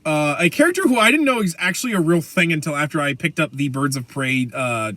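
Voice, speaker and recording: A man with an American accent co-hosting a podcast, chatting casually about pop culture in rambling, halting explanations. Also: fairly high pitch, lively intonation, pushed and bright, no room sound. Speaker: podcast co-host.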